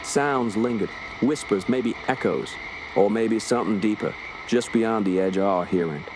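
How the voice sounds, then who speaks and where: An actor playing a grim, commanding police officer in a radio horror drama, with a dramatic, authoritative delivery. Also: male voice, fairly low pitch, moderate pace, noisy background, close to an everyday voice. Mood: grave horror. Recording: loud dramatic music and effects behind.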